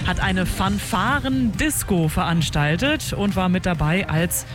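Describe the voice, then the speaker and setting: A man's voice: high-pitched, a little slow, music in the background. A man hosting a morning radio show in an upbeat, chatty way. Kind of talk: breakfast radio presenting.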